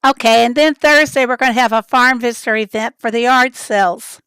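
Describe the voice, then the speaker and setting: A woman with a raspy voice, hoarse from a summer cold, chatting her way through a livestream in a loose, rambling manner. Her voice sits a little high, and she greets viewers from the chat as she goes. The sound is dry, with no room echo. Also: a little fast, a bit bright. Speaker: livestream host.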